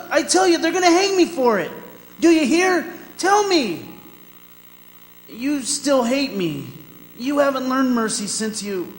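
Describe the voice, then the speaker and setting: A man playing a condemned prisoner's wandering soul in a radio drama, bitter, agitated and pleading. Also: very high-pitched. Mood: urgent demanding, then bitter accusing. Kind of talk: old-time radio drama acting on stage. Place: performing arts center hall. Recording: echoey hall, faint noise.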